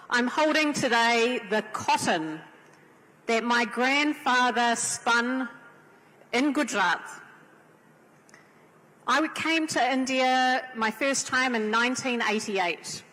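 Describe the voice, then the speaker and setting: A woman with a New Zealand accent speaks slowly and earnestly on a conference panel. Her voice sits a little high. Long reverb from a large hall trails every phrase. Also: a bit bright. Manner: measured, earnest, drawn-out delivery. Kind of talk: formal speech on a panel.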